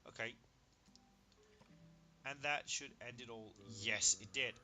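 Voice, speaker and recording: A man whose first language is Danish, giving casual gameplay commentary. He is excitable, marvels out loud at what he builds, and counts under his breath. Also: medium pitch, slow pace, music in the background. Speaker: gaming video creator.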